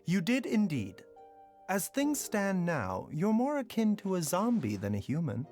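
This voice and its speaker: An actor voicing a calm, enigmatic doctor in a video game, speaking in a polite, formal, measured way. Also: male voice, high voice, faint music behind, full character voice.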